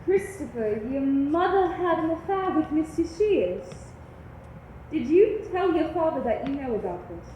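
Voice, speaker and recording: Gentle, patient teacher; woman in the part, high and soft, roomy. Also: very animated, measured pace. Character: calm female teacher questioning a boy. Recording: echoey room with faint noise.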